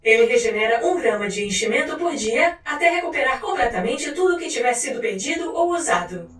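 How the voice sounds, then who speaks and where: A woman's voice: high-pitched, animated, brisk, fairly bright tone. A woman with a Brazilian accent reading a scripted scientific file in a formal, clinical manner. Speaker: narrator. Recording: roomy echo, distant mic, faint music. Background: music.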